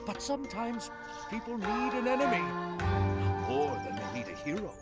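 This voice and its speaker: An actor voicing an excitable, scheming, bookish sprite uncle in a video game, with a dramatic, indignant manner. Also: mid-range voice, normal speed, loud music behind the voice. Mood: indignant outrage. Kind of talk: theatrical video game voice acting.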